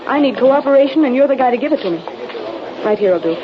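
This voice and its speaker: Give the part to a breezy, eager American girl photographer from a vintage radio serial. She rattles off slang in a high, quick voice. The old recording is muffled. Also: animated, background noise. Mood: brisk, bossy. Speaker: actress in a radio drama. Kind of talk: 1930s-40s radio acting.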